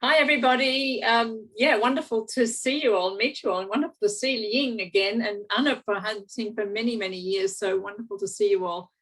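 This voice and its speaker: A woman's voice: medium pitch, fairly quick, hardly any pauses, rough recording. A woman researcher greeting everyone enthusiastically on a video call. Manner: warm, enthusiastic.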